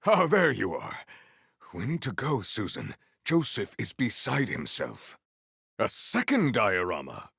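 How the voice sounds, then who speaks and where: An actor playing a noble, earnest warrior hero in a video game, speaking in a pompous, formal and grandiose way. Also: male voice, lowish voice, huge pitch swings, a little slow, gravelly, pushed and bright, breathy edge, no room sound, clean studio sound, exaggerated voice. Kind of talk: video game character voice acting.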